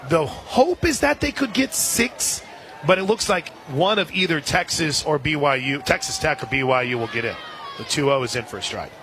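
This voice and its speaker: A man doing live radio play-by-play of a softball game, slipping conversational asides in between pitch calls. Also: animated, moderate pace. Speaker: play-by-play announcer. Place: outdoor softball stadium broadcast booth. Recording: loud crowd and outdoor stadium noise. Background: crowd noise.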